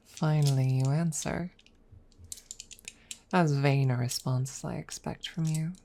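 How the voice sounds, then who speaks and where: A woman playing a proud, teasing dragon rival in a soft, measured ASMR audio roleplay, at times dropping toward a whisper. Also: rumbling low, a little hiss or hum. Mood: coy, vain. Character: proud, teasing dragon rival hiding affection, hoarder of treasure.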